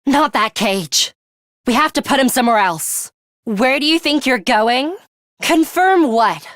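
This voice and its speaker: A woman playing a serious, composed young female fighter in a video game, dryly scolding and sharp-tongued but caring. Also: sits high, animated, no room sound, clean studio sound. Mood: urgent, firm. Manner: measured, stern, dryly scolding.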